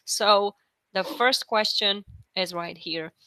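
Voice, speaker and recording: A woman hosting a webinar over a video call, thanking the speaker and moving briskly to questions. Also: medium pitch, pushed and bright, clear, resonant tone, no echo, low-quality recording. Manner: quick, polite thanks. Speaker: webinar host. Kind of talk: moderating a webinar.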